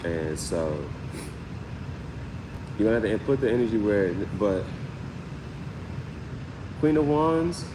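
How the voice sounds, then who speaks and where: A man's voice: fairly low pitch, sing-song, slow, long pauses, background noise. A man hosting a live tarot reading, talking in a casual, rambling, conversational way with frequent filler phrases. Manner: rambling, conversational, repeats "you know what I'm saying".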